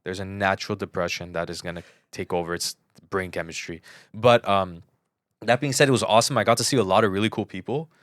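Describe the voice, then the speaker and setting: A man's voice: deep voice, fairly even intonation, quick pace, a bit bright, no room sound, clean studio sound. A man co-hosting a podcast in casual chat, telling personal stories emphatically and repeating words for stress. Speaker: podcast co-host.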